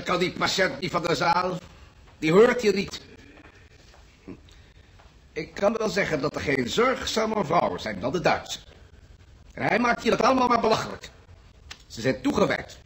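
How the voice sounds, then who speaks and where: A man's voice: sits high, fairly bright tone, full character voice, poor audio. An actor playing a prim, fussy, pompous male hospital patient in a radio drama. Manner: pompous, formal wording. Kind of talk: old radio drama acting.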